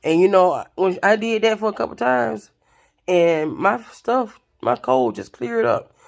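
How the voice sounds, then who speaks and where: Raspy-voiced chatty woman. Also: mid-range voice, a little slow. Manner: chatty, expressive, addresses viewers directly. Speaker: vlogger. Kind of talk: casual storytelling to camera.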